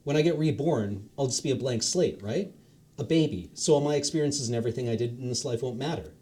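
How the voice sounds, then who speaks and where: A man's voice: medium pitch, fast, warm tone, lightly acted. A man voicing a bewildered newly dead man. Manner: hesitant, questioning.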